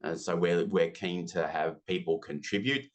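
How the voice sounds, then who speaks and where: Australian man, 50s, deep voice, chatty interview guest who pokes fun at himself. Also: steady tone. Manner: chatty, self-deprecating, talks in quick asides. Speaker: interview guest, business software community founder. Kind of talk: casual interview chat.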